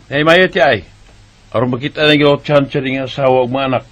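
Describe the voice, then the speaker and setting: A man's voice: medium pitch, fairly quick, highs cut off, music in the background, natural voice. A man playing a joking cousin who teases in a chuckling way and urges his relative to use social media. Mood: teasing. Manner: teasing, chuckling. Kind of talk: radio drama acting.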